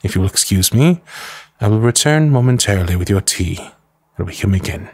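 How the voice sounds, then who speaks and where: A man playing a devoted, protective manservant to a noble lady in an ASMR roleplay, speaking formally, with measured deference and dry disapproval. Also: lowish voice, lively intonation, darkish tone, breathy edge, no echo. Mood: dry, attentive. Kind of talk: scripted ASMR roleplay acting.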